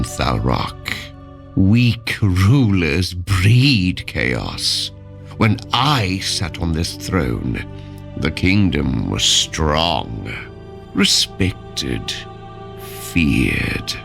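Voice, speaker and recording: An actor playing a vengeful tyrant king returned from death, cold and menacing, in a dark fantasy audio drama, brooding and grandiose. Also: low voice, creaky, full character voice. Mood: grandiose menace. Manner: slow, brooding, grandiose pronouncements. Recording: music under the voice. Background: dramatic music.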